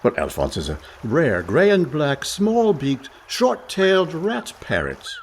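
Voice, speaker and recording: An actor playing a breezy, smooth-talking con man older brother with a glib, theatrical manner in a comic radio play. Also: on the high side, huge pitch swings, unhurried, slight background noise, full character voice. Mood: glib, persuasive.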